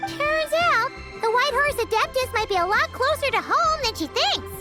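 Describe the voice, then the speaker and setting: A woman voicing a small, bubbly, childlike fairy companion in a video game, squeaky, excitable and scatterbrained. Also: moderate pace, loud music behind the voice. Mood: puzzled, musing. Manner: excitable, speaks of self in third person. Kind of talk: animated video game voice acting.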